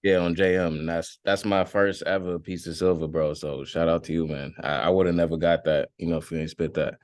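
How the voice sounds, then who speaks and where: A man calling in to a live chat in a relaxed, slangy way. His voice is very low and smooth, and it sounds dry, with no room around it. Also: a little fast, no echo. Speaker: caller. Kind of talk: casual chat.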